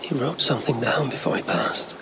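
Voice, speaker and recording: A man's voice: mid-range voice, lightly acted. Man acting a solemn Viking warrior, noisy ambience. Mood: brooding, investigative. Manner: measured, solemn, murmuring to himself. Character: brooding Viking warrior and clan leader, investigating. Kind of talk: video game cutscene acting.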